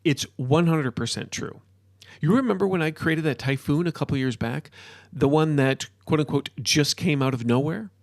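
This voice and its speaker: A man playing an excitable modern-day wizard in a storybook, ranting and lecturing in an emphatic, informal way. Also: on the high side, moderate pace, no echo, studio quality, pitched above the performer's own voice, close to an everyday voice. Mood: enthusiastic lecturing. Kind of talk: storybook character dialogue.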